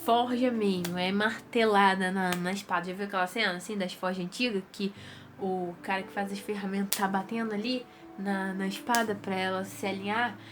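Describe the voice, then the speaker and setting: A woman's voice: medium pitch, fairly even intonation, moderate pace, over loud music. A young woman with a Brazilian Portuguese accent gives an earnest, casual devotional talk to her viewers, her nose stuffy from rhinitis. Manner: earnest, repetitive, conversational.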